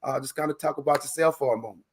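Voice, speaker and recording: A man with a medium-pitched voice works as an interview host. He talks casually and encouragingly, with an earnest manner, and often doubles up words to stress them. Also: racing.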